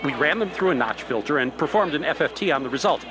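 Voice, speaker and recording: Excitable male scientist, played by an actor, radio play. High, very lively pitch, technical and eager. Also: fast, a crowd in the background, natural voice. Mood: excited. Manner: technical, animated explaining.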